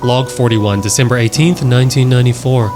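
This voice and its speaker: A man's voice: medium pitch, fairly even intonation, brisk. A man reading text aloud and adding casual, wry commentary as a horror reaction host. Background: music behind the voice.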